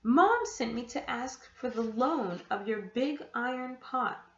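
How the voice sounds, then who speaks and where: A woman's voice: fairly high pitch, lively intonation, slow. A woman voicing a polite, earnest young boy who tries to be brave in a children's storybook. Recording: echoey room, dull muffled sound.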